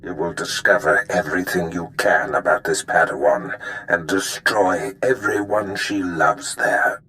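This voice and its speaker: An actor playing a commanding, ruthless Sith master in a video game, speaking in a measured, formal, imperious way. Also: male voice, deep voice, fairly even intonation, bright, forceful tone. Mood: stern command. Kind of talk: video game cutscene acting.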